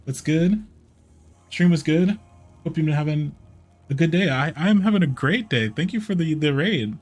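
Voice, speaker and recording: A man chatting casually on a gameplay livestream in a halting way, often repeating his phrases. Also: on the high side, animated, a little mellow. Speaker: live streamer, VTuber. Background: game music.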